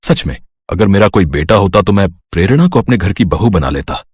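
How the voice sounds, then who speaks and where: A man's voice: low-pitched, lively intonation, a little fast, husky, dry recording, pitched below the performer's own voice. A man voicing a kindly middle-aged father in a cartoon story, affectionate and approving. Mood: fond, regretful. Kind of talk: Hindi cartoon story dialogue.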